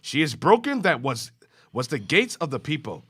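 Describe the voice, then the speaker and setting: A man reading scripture aloud in a class, with a steady, formal reading cadence. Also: fairly high pitch, moderate pace, husky.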